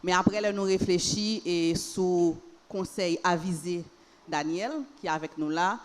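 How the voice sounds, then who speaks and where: A woman's voice: on the low side, fairly even intonation, measured pace. A Haitian woman hosting a panel discussion, speaking unhurriedly and repeating phrases for emphasis. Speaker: panel host.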